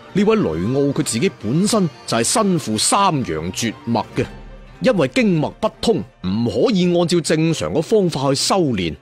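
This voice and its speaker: Cantonese guy telling a fantasy serial, super expressive pitch, theatrical w/ little asides, bit of music under. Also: husky. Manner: theatrical, conversational asides, drawn-out emphatic endings. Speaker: storyteller narrator. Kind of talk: dramatic serial fantasy storytelling.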